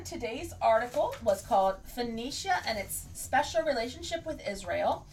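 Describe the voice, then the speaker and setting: A woman hosting a podcast, reading an article aloud with careful, deliberate pacing and frequent restarts, then signing off casually. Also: a little high, creaky, far from the mic, slight background noise, rough recording. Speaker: podcast host.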